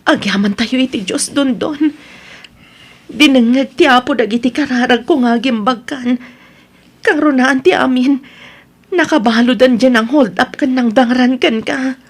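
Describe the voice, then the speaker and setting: A woman's voice: high-pitched, unhurried. A woman playing a devoted, long-suffering and outspoken wife in an Ilocano audio drama. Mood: thankful.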